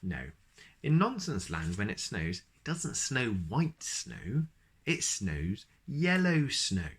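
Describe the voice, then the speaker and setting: A man reading children's storybooks aloud as host, expressive and speaking directly to young listeners. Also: lowish voice, slow pace.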